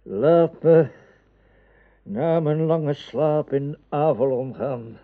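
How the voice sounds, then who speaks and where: An actor playing a weary, three-hundred-year-old wizard and mentor in a radio play, solemn and wistful. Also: male voice, fairly high pitch, extremely slow, vocal fry, heavily acted. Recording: narrow phone-like radio play sound.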